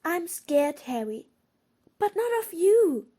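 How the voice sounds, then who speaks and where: A woman's voice: very high voice, sing-song, slow, dark tone, full character voice. A woman playing an earnest, clever and careful schoolgirl witch in an audio drama, inquisitive and reasoning aloud. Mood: concerned.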